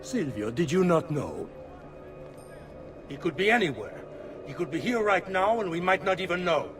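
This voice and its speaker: Obsequious noble conspirator, Italian accent, high-pitched, urgent, music behind. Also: male voice, expressive, normal speed. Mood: alarmed. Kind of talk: cinematic game acting.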